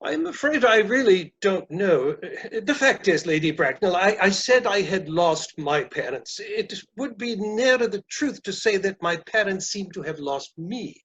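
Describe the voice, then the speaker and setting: A man playing a polite, flustered gentleman suitor in a comic play reading, hesitant, formal and apologetic. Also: sits high, lively intonation, unhurried, hardly any pauses, slightly rough, highs cut off, full character voice.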